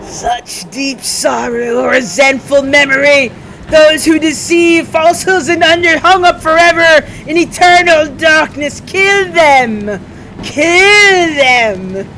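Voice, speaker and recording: A woman voicing a vengeful curse spirit from a visual novel, anguished and demanding death in a drawn-out, dramatic delivery. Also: sits high, bright, forceful tone, slight background noise, pitched above the performer's own voice, exaggerated voice, low-quality recording.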